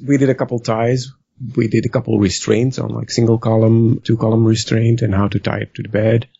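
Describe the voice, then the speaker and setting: Gravelly West Virginian man. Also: on the low side, little pitch movement, a little fast, warm tone, dry recording, highs cut off. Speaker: rope bondage instructor. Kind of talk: casual podcast chat.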